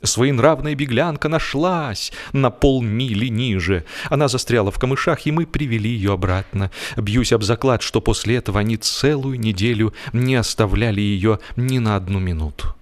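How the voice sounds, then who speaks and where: A man narrating a humorous literary story with expressive, deliberate, measured phrasing. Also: medium pitch. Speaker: audiobook narrator.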